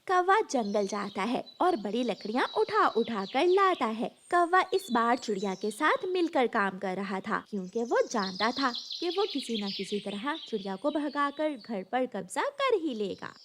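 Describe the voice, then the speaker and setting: Woman narrator, high pitch, children's storytelling, scripted, faint noise behind. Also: big pitch swings, normal speed, few pauses, fairly bright tone.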